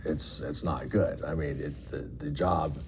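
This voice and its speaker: Middle aged guy w a really deep voice, earnest n emphatic interview answers, bit of background noise. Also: fairly even intonation, measured pace. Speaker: air traffic controller being interviewed.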